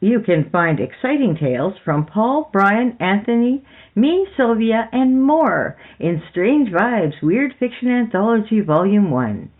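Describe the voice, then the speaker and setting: A woman's voice: medium pitch, very animated, measured pace. A woman hosting a podcast, reading scripted narration. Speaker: podcast host. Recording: slightly dull audio with faint music. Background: faint music.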